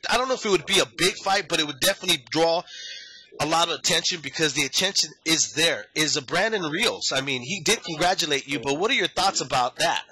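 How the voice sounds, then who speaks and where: A man's voice: on the high side, animated, fast, pushed and bright, rough recording. A man asking interview questions in a brief, conversational way.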